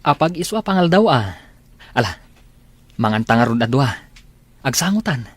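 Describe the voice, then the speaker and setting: A man acts a young village suitor with an Ilocano accent in an audio drama. His voice is husky and of middling pitch, teasing and lovestruck. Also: big pitch swings, moderate pace, no room sound. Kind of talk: amateur Ilocano radio-style comedy drama acting.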